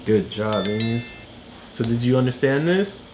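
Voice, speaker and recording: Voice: mid-range voice, lively intonation, moderate pace, noisy background. An adult patiently explaining things to a child in a casual read-along lesson, often checking for understanding. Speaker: parent tutor explaining a book to a child. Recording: echoey room.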